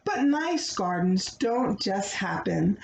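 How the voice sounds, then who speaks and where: A woman reading a children's story aloud in a teacherly way, pausing to check in with her young listeners. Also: medium pitch, very animated, creaky. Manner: addresses young listeners with questions and pauses. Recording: roomy echo, faint background noise.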